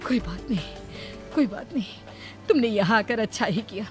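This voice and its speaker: A woman's voice: medium pitch, lively intonation, moderate pace, music in the background, lightly acted. A woman voicing a concerned, easily startled aunt in her sixties for a horror cartoon, anxious and motherly as she questions. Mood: motherly, cautioning. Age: 60s.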